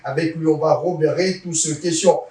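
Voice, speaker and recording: A man hosting a TV interview, pausing often with fillers and addressing viewers formally. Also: on the high side, steady tone, a little mellow, recorded from a distance. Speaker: talk show host. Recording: roomy sound from off-mic.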